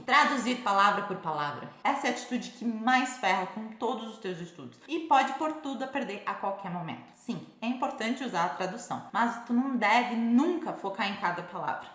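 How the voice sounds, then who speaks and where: A woman's voice: fairly high pitch, a bit bright. A young woman teaching English in a casual vlog, blunt and punchy, talking straight to her viewers in an echoey room. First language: Portuguese. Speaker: English teacher on a video channel. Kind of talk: energetic direct-to-camera teaching vlog.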